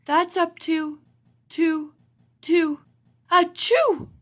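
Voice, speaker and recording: A woman's voice: very high voice, extremely slow, fairly bright tone, pitched above the performer's own voice, exaggerated voice. A woman voicing a boastful, stubborn father bear for a storybook, stammering his way into sneezes. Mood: sneezy, stammering.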